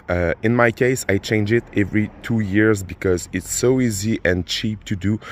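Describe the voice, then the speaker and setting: A middle-aged man with a French accent, a native French speaker, hosting a car repair channel and explaining a coolant change in a halting, casual way with many fillers. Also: lowish voice. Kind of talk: casual how-to demonstration.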